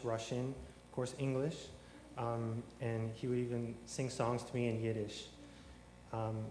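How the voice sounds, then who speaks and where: A man's voice: medium pitch, darkish tone, a little hiss or hum. A man speaks at a lectern in a large sanctuary, loose and rambling, full of ums, restarts and dry little jokes. The room is echoey with a long reverb, and faint ambience sits underneath. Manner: rambling, many ums and restarts, wry humor. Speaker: congregant telling his conversion story. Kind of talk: informal testimony at a service.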